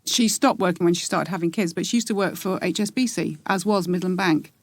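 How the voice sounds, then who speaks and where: A woman in her forties talks through her past as a podcast guest, in a slightly low voice. She hesitates and restarts often, thinking aloud. The sound is dry and close. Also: a little fast, no room sound. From England. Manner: reflective, hesitant, many fillers and restarts. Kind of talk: casual interview.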